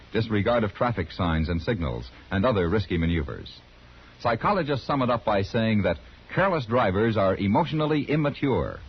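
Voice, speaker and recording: A man's voice: on the low side, breathy edge. An American man announcing formally from a script in 1950s radio style. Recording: dull vintage radio recording.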